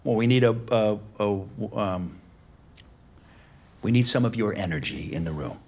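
Low-voiced, condescending finance boss. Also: male voice, animated, unhurried, a little mellow, a little hiss or hum. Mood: courteous. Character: smooth, pressuring male senior finance boss. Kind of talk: naturalistic screen drama acting.

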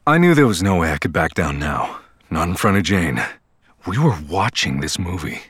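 A man's voice: sits low, big pitch swings, normal speed, a bit bright, husky, no echo, clean studio sound, full character voice. An actor playing a man who narrates his memory of a scary childhood movie night in an audio drama. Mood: reflective. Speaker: narrator of a remembered story. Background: music.

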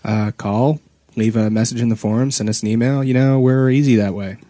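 A man's voice: mid-range voice, steady tone, fairly quick, dark tone, no room sound. A man hosting a podcast, chatting casually with his co-hosts. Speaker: podcast host. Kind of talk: casual group chat.

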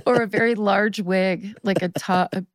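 A woman's voice: medium pitch, fairly even intonation, normal speed, dry recording. Playful woman in dry, close sound. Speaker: podcast co-host. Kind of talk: casual comedic banter.

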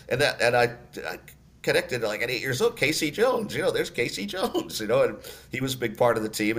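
An older man, a radio announcer, telling stories in a relaxed interview with lots of fillers and restarts. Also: medium pitch. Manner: storytelling, many fillers and restarts.

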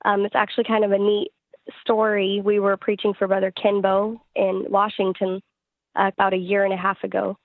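A woman's voice: medium pitch, monotone, moderate pace, dry recording, phone-quality sound. A woman who sings and writes gospel music, answering interview questions in a conversational way. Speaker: interview guest, gospel singer-songwriter.

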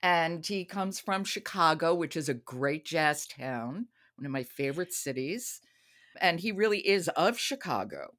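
A woman hosting a casual audiobook review chat, speaking in an expressive, playful and emphatic way. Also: low-pitched, measured pace. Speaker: podcast host.

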